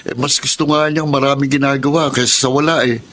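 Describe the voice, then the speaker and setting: A man's voice: medium pitch, fairly even intonation, brisk, fairly bright tone, slight background noise, close to an everyday voice. An actor playing an earnest, good-natured young fisherman and loyal son in a Filipino radio drama. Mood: teasing.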